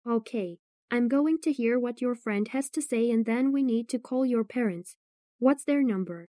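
A man voicing a kind female police officer who speaks softly and reassuringly. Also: very high voice, moderate pace, no echo, clean studio sound, pitched above the performer's own voice. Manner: soft, reassuring.

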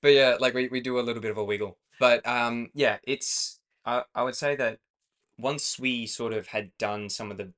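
This is a young man with an Australian accent, chatting casually as a podcast co-host. He rambles and corrects himself often, and he laughs easily. His voice sits at an ordinary pitch. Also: fairly even intonation, normal speed, fairly bright tone. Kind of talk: casual chat.

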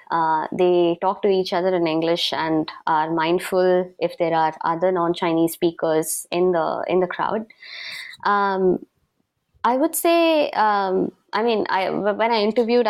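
A woman's voice: fairly low pitch, darkish tone. A young woman from South India, an interview guest, explaining things earnestly in a casual chat with plenty of fillers.

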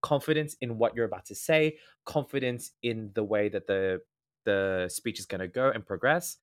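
A man's voice: lowish voice, moderate pace. An Australian man with a slightly nasal voice, a guest in a casual interview, earnestly explaining advice point by point. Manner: earnest, explanatory, lays out tips in ordered points. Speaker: guest speaker, former event MC.

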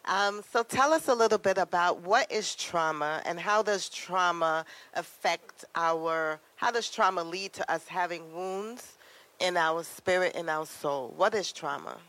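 A woman from Trinidad and Tobago hosting a podcast, affirming and warm in how she responds. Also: on the low side, measured pace, poor audio. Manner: warm and affirming, with laughter and amens. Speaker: podcast host. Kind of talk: podcast interview.

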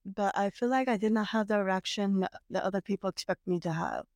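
A woman talking casually with her brother as a podcast guest, reflective and hesitant, with frequent pauses and restarts. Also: medium pitch, darkish tone, no echo. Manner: hesitant, reflective, many fillers and restarts, occasional laughs. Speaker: guest, host's sister. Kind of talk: casual conversation. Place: parents' house.

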